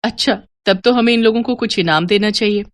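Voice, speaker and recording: A woman voicing a sweet, coaxing young bride and quietly scheming daughter-in-law in an animated moral story. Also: medium pitch, brisk, lightly acted. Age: young adult.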